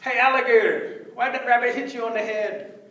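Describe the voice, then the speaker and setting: A man's voice: very high voice, fairly even intonation, brisk, bright, forceful tone, pitched above the performer's own voice. A man voicing a cheeky, scheming rabbit in an oral folktale, eager and wheedling. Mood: sly flattery. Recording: echoey room, distant microphone.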